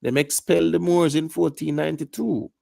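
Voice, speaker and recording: Gravelly Jamaican man lecturing. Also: a little high, steady tone, a little fast, a little mellow, dry recording, studio quality. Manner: lecturing, repeats "you get me" asides. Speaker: panelist. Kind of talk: live panel discussion.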